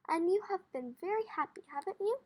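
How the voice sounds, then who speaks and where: Storybook father, a farmer played by a woman reader: high voice, calm and wise, earnest measured delivery, gently instructive. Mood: fond, amused.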